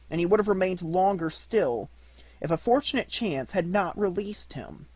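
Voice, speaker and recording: A man reading a storybook as scripted audiobook narration, in deliberate, measured phrases. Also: on the high side, husky, no room sound. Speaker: audiobook reader.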